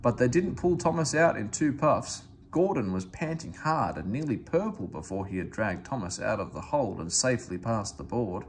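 A man reading a children's story aloud in an expressive, theatrical manner. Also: fairly low pitch, normal speed, few pauses, a little hiss or hum. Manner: animated, theatrical with character voices. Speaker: storybook reader.

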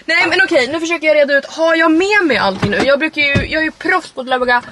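A woman, chatting casually and informally to camera as a vlogger. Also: sits high. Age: 20s. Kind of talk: casual chatty road trip vlog. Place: in and around a camper van and car. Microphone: handheld vlog camera. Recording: clear background noise, camera audio.

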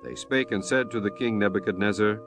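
A man narrating scripture from a script in a measured, formal manner. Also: fairly low pitch, over music.

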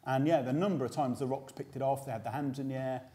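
Host: British man, 40s, mellow measured tone, calmly breaks down the game. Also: mid-range voice. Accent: British English. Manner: measured, explanatory. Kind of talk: post-match analysis chat. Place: small indoor room before a sponsor backdrop.

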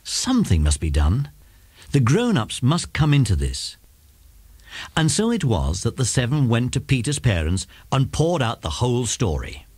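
A man narrating a scripted children's story in an expressive storytelling manner. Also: mid-range voice, moderate pace, breathy edge, no echo.

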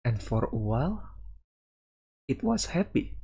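A man reads a visual novel aloud for a let's play, his voice slightly husky and his delivery unhurried. He moves between storybook-like narration with dramatic pauses and his own commentary, with a lively swing in pitch. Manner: dramatic pauses, slow storybook-like reading broken by reactions. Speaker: VTuber streamer reading a visual novel aloud.

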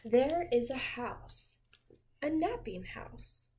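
Young lady doing storytime for kids, reads really slow, higher voice, gentle and expressive. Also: a little hiss or hum. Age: 20s. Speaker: teacher reading storytime to children. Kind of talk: read-aloud picture book storytelling for young children. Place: small home room with windows, indoors.

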